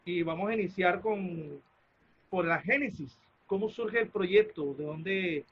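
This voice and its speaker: A man with a Colombian accent moderating an arts presentation, speaking off the cuff in a halting, formal way as he searches for words. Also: sits high, slightly rough, lo-fi sound. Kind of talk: spontaneous formal event introduction.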